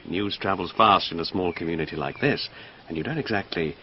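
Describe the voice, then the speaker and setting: A man's voice: low-pitched, brisk. A man playing an aloof, vain garden designer in a radio drama, with a cool, drawling, faintly disdainful manner. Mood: wry, knowing. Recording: dull lo-fi radio drama, noisy.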